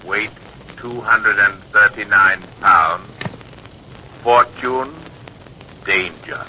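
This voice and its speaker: An actor playing an ominous, portentous announcer in the opening of a 1940s radio show. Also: male voice, lowish voice, drawn-out words. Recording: muffled, hissy old-time radio recording.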